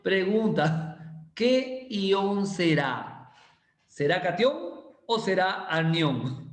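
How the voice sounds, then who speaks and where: A male chemistry teacher, giving a casual, playful class and teasing students as he slowly repeats quiz questions. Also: high voice, lively intonation. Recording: roomy echo.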